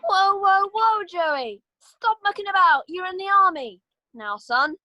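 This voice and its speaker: A woman's voice: very high voice, lively intonation, a little slow, sharp tone, dry recording, dull audio, full character voice. A woman playing a blunt, no-nonsense male army sergeant who handles horses, in an amateur script read-through. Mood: scolding.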